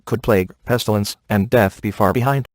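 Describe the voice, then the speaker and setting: An actor playing a streetwise, exasperated ghost hunter in a video game, informal and grumbling. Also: male voice, fairly low pitch, fairly even intonation, a little fast, dry recording, clean studio sound, close to an everyday voice. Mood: concerned.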